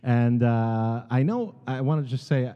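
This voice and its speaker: A middle-aged American man giving a sermon as a guest speaker, halting and earnest, with many ums and restarts. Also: medium pitch, lively intonation, clean tone. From United States. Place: large synagogue sanctuary. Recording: long hall reverb, dull sound.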